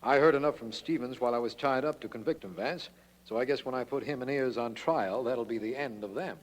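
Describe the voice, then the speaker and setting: A man plays an earnest American district attorney in classic radio acting style. His medium-pitched voice is inquiring and straightforward, the detective's straight man. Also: brisk, natural voice. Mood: curious. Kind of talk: old-time radio detective drama acting.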